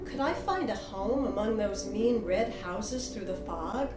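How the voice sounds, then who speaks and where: An actress plays an earnest, yearning young orphaned woman poet, reciting verse with drawn-out, deliberate phrasing in an audio drama. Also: mid-range voice, lightly acted. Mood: grieving, intense. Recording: roomy, echoing, loud music underneath. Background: guitar music.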